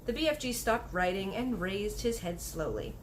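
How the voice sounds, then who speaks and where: A woman's voice: medium pitch, lively intonation, moderate pace, slight background noise, low-quality recording. A woman reading a children's book aloud as narrator. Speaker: story reader.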